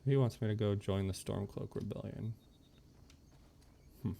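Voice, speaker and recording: A man streaming a game with low-key, musing commentary, in a casual live style. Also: unhurried, vocal fry, dark tone, slight background noise. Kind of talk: casual live gameplay commentary, sometimes reading game text aloud. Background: faint game music.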